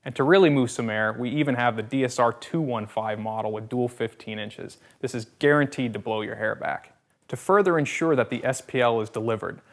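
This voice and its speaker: Male voice-over, gravelly, mid pitch, slick sales read with punchy quips. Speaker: product presenter. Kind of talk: scripted product narration.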